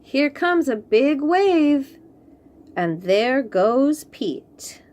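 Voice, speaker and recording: A woman reading a picture book aloud to young children, slow and expressive, then chatting about the books. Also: high-pitched. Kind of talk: read-aloud storytime for young kids.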